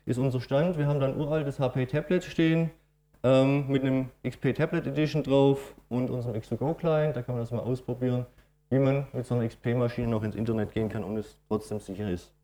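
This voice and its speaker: A man's voice: medium pitch, steady tone, fairly quick, dark tone. A middle-aged man with a Swabian accent giving a matter-of-fact technical conference presentation, with frequent fillers. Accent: Swabian German. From Swabia, Germany. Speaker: conference speaker.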